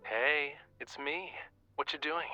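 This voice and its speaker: Young artist, game romance lead, very bright forceful voice, languid teasing, self-assured, fussy, background music. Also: male voice, on the high side, slow pace, dull audio, heavily acted. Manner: casual, lazily teasing. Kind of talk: video game romance voice acting.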